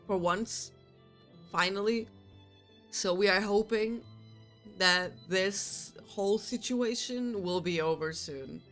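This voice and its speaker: A woman's voice: fairly low pitch, fairly bright tone. A young woman streamer chatting casually with her viewers, her nose stuffy from a cold, rambling and laughing often. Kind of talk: casual chat with live chat.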